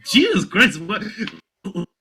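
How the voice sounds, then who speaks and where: A man reading aloud mockingly in casual banter, halting often with exasperated, incredulous asides. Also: high-pitched, wildly swooping pitch, sharp tone. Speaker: reader on a comedy reading show.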